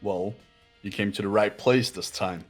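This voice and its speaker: Man acting a haughty young man, deep-leaning husky voice, condescending, pompous, deliberate, game dialogue, background music. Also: close to an everyday voice. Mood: smug, analytical. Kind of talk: voiced video game playthrough.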